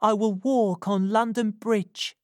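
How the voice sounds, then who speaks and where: A woman's voice: medium pitch, steady tone, slow, darkish tone, dry recording, clean studio sound, pitched above the performer's own voice, lightly acted. A woman voicing a frightened young woman who speaks in an urgent, pressing way in a storybook reading.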